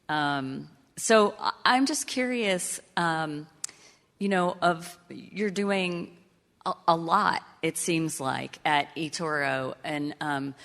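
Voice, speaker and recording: A woman moderating an onstage fireside chat, asking questions hesitantly with many pauses. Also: deep voice, a bit bright. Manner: hesitant, many fillers and restarts. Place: conference venue, on stage. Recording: reverberant conference hall.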